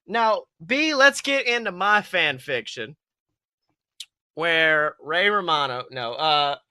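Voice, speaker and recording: A man hosting a podcast with playful, excitable comic chat and story reading. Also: a little high, slow pace, clear, resonant tone, no room sound, studio quality. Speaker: podcast host.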